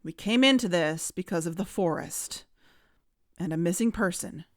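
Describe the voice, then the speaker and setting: A woman in scripted audio drama dialogue, earnest and worried, sometimes rushing her words. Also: fairly low pitch, no room sound, clean studio sound. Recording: music bed underneath.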